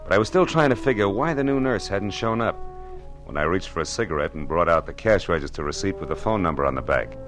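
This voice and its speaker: A cynical hard-boiled private eye, deep-voiced. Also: male voice, expressive, fast, over music. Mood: wry narration. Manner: dry, wisecracking, laconic. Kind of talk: 1940s radio drama acting and first-person narration.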